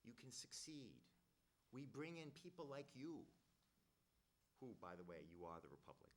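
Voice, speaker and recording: A man's voice: mid-range voice, sing-song, normal speed, a little hiss or hum. A man from Rhode Island giving rambling, earnest roundtable remarks as a youth program director, often restarting his sentences. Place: government roundtable room.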